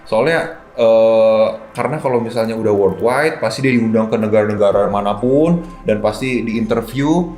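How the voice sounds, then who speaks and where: A man's voice: fairly low pitch, normal speed, hardly any pauses, darkish tone. A man in his twenties with a Jakartan Indonesian accent, asking and commenting in a casual conversational way as host. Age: 20s. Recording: echoey room with music underneath.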